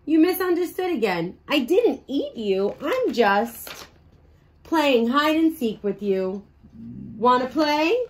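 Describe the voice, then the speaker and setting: A woman voicing a misunderstood male shark, picture-book voice, pleading and gentle, slow-spoken, higher tone. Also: very animated, heavily acted. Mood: playful. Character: big misunderstood male shark, sweetly defensive. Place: bedroom.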